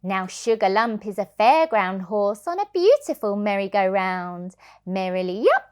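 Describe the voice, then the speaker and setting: A woman reading a children's storybook aloud as a childminder, in an expressive, sing-song way. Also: medium pitch, measured pace, clear, resonant tone.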